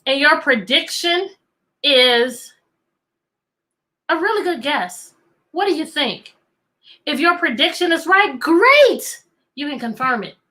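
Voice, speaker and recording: A woman teacher reading a picture book aloud to children, animated and coaxing, often repeating questions to draw out answers. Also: high-pitched, slow, pauses often. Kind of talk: interactive children's read-aloud.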